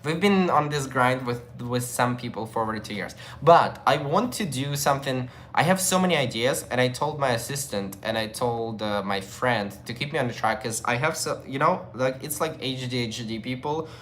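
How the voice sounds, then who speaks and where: Male streamer, young adult; casual, rambling chat with viewers, mid pitch, faint noise behind him. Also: normal speed, hardly any pauses, fairly bright tone. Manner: loose, chatty, with many fillers and restarts. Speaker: livestream host. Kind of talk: casual livestream chat, reading viewer comments.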